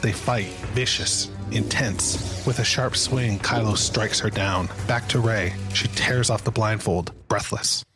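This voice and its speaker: A man's voice: lowish voice, fairly even intonation, measured pace, hardly any pauses, music in the background. A man narrating screenplay stage directions in a dramatic scripted style.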